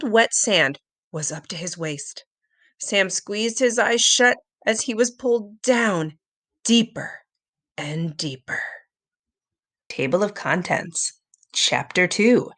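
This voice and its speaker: A woman narrating a children's story aloud in an expressive, dramatic storytelling manner. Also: medium pitch, takes it slowly. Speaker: storybook reader.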